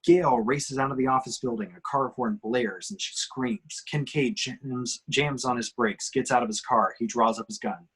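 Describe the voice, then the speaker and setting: A man's voice: medium pitch, moderate pace, hardly any pauses, fairly bright tone, husky, poor audio. Male narrator, mid-range voice, reads stage directions for an amateur table read. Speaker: reader of action descriptions. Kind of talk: scripted screenplay narration at a table reading.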